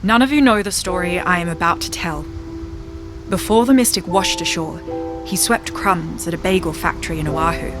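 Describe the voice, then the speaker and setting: A woman's voice: on the low side, normal speed, close to an everyday voice. A woman playing a wise, self-assured talking poodle prophet in a comedic audio drama, speaking with grand, kindly earnestness. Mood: earnest storytelling. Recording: music behind.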